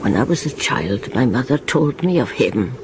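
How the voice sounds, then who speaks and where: A woman's voice: rumbling low, big pitch swings, background noise. An actress playing an ancient Welsh village woman who clings to old magic, telling her tale in an incantatory radio drama monologue. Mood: brooding, incantatory. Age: over 90. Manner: slow, incantatory storytelling.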